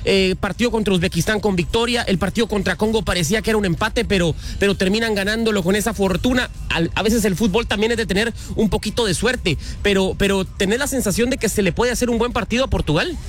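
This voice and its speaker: Guatemalan man, radio field reporter, rapid high-pitched patter outdoors, music behind. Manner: quick, chatty, fills in answers. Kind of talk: street interview with fans. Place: outdoor fan festival.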